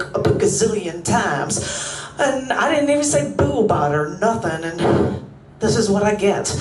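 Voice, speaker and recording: A woman's voice: mid-range voice, darkish tone, recorded from a distance, a little hiss or hum, close to an everyday voice. A woman playing a rambling confined woman with a Southern drawl. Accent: put-on Southern US. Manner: rambling, stammering, nervous laughs. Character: confined woman, chatty, restless, feigning craziness. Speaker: performer of a solo stage monolog. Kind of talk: live theatrical monolog. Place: coffeehouse stage before a live audience. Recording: roomy room, mic set back.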